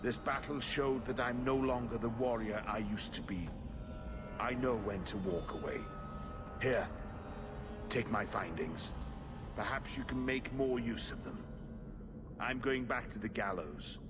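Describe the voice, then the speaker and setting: An actor playing a weary, middle-aged templar knight in a video game, formal, earnest and discouraged. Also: male voice, medium pitch, a little slow, pauses often, lightly acted. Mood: resigned. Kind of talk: video game dialogue acting. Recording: clear noise behind the voice.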